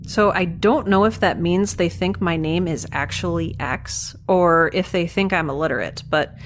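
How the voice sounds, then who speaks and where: A woman's voice: on the low side, normal speed, soft background music, close to an everyday voice. A young woman playing a wry, stranded space scientist, rambling self-deprecatingly through a first-person log in an audio drama. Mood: wry, matter-of-fact.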